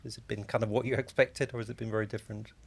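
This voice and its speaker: A man's voice: fairly low pitch, level tone, racing, vocal fry, slightly rough. A man asking questions and commenting as an interviewer in a conversational style.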